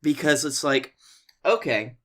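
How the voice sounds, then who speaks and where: A man co-hosting a comedy podcast, telling casual stories in an animated, playfully exaggerated way. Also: a little high, a little slow. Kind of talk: casual comic storytelling.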